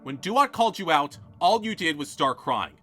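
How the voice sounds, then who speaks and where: A man voicing a furious, vindictive female contestant who is a mother, profane and cutting, bursting into shouting. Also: fairly high pitch, big pitch swings, moderate pace, soft background music. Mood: scornful. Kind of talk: fan reality-show parody drama.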